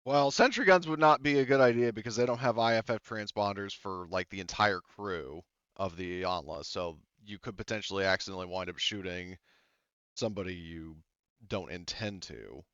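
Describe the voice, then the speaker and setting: A man's voice: deep voice, normal speed, a bit bright, clean tone, no echo, lo-fi sound. A man running a tabletop game as game master, talking in a loose, casual way, swearing freely and voicing side characters. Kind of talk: game master narration and rules talk.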